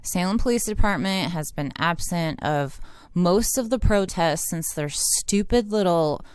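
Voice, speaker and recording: An American woman with a fairly low, clear voice delivers unscripted political commentary. She is blunt and indignant and swears freely. The recording is dry and close, with little room sound. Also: lively intonation, a little slow, no echo. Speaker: independent online political commentator and activist.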